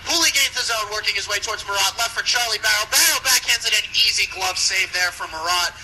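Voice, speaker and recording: Male radio hockey caller, high register, brisk live delivery, noisy rink broadcast. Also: sharp tone. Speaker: ice hockey play-by-play commentator. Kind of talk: live radio sports commentary.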